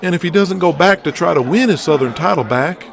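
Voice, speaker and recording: Man playing a crude, animated wrestling booker. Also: high-pitched, brisk, gravelly, faint music behind. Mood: pondering. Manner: foul-mouthed, blunt, scheming. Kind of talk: audio drama acting.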